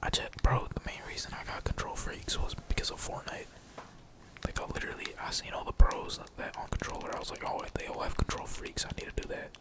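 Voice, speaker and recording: A young man whispers casual gameplay commentary in a breathy, unvoiced voice, rambling along in slangy, profane language. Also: fast. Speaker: gaming streamer.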